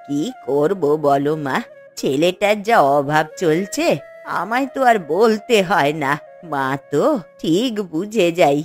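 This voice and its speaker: A woman's voice: fairly low pitch, lively intonation, slow pace, soft background music. A woman voicing an elderly, grumbling, scolding mother-in-law who dotes on her son in a cartoon. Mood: plaintive. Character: elderly mother-in-law, doting on son, bitter about younger daughter-in-law. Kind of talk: scripted cartoon dialogue.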